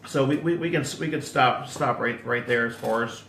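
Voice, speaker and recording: A man's voice: mid-range voice, brisk, fairly bright tone, reverberant, a little hiss or hum, low-quality recording. A man hosting a casual online interview, stumbling and repeating words with many fillers. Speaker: host.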